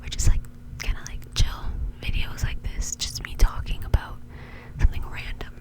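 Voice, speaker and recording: Woman whispering casual ASMR. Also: normal speed. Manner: informal, chatty. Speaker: host.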